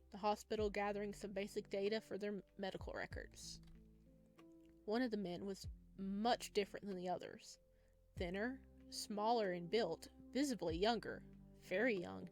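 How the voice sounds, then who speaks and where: A woman doing a let's play, reading game text aloud and adding casual reactions. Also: mid-range voice. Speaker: host playing and reading a visual novel. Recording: music behind the voice. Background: background music.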